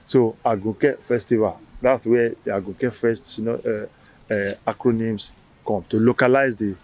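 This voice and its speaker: A man's voice: lowish voice, fairly even intonation, a little slow. A man with a Nigerian accent, a festival founder giving an interview answer, with many fillers and restarts.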